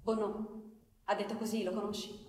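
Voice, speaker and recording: A woman's voice: medium pitch, little pitch movement, normal speed, darkish tone, lightly acted. A woman playing an insistent activist, distant and echoey. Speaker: stage actor. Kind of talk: live Italian theatre acting. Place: theatre stage. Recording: distant, noisy, echoey theatre hall.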